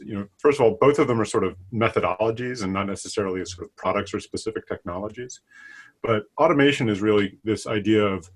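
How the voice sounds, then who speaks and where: A middle-aged man giving casual, loosely phrased explanations as an interview guest. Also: on the low side. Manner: loose, hedging with sort of and kind of. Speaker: guest expert, product line manager.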